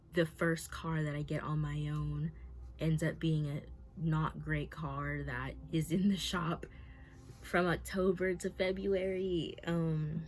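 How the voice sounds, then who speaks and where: A young woman of about twenty, with a low-pitched voice, gives a candid update to her camera from home. She sounds nervous and rambles, falling back on fillers and restarting her sentences as she speaks openly about her life. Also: rough recording. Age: 20s. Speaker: vlogger.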